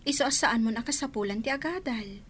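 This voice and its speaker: A woman's voice: a little high, quick pace, fairly bright tone, husky. A woman voicing a frank, principled young female student in an Ilocano radio drama. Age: young adult. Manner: blunt, frank.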